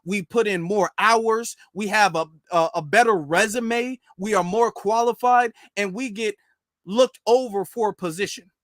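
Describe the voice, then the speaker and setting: A man's voice: sits high, a little slow, fairly bright tone, dry recording, clean studio sound. A man from the United States giving informal, emphatic political commentary on a live stream, repeating phrases for stress.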